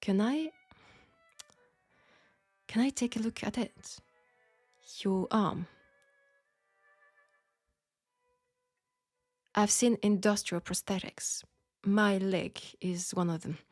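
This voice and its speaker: A woman playing a flirtatious, caring Parisian seamstress in an intimate steampunk audio roleplay, speaking with a French accent and a soft, hesitant delivery. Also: mid-range voice, takes it slowly, pauses often, no room sound, faint music behind. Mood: gentle, hesitant. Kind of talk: intimate scripted audio roleplay addressed to the listener.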